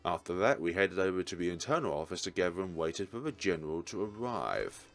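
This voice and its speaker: A man reading a visual novel aloud as a let's player, with deliberate, theatrical narration. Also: deep voice, big pitch swings.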